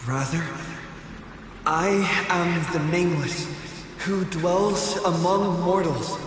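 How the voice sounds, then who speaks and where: A man playing a humble godlike hero in a game, speaking in halting, solemn, weighty phrases with heavy reverb. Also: sits high, steady tone, a little hiss or hum. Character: gentle, humble godlike hero addressing mortals. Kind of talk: dramatic game voice acting. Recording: long cavernous reverb, music behind. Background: music.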